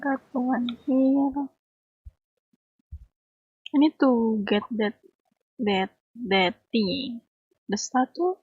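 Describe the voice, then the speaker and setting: A woman chats with viewers as she streams a game, talking very slowly and often repeating words. Her voice is husky and strained from being hurt. It is a dry, dull lo-fi mic recording. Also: a little high, fairly even intonation, no echo. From Indonesia. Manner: slow, halting, repeats words. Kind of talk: casual gameplay chat with viewers.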